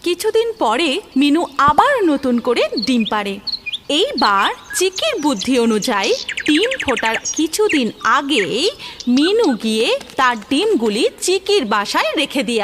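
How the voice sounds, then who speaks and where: Animated, high-voiced woman storyteller. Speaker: storyteller, narrator. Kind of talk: scripted children's fairy-tale narration. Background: music.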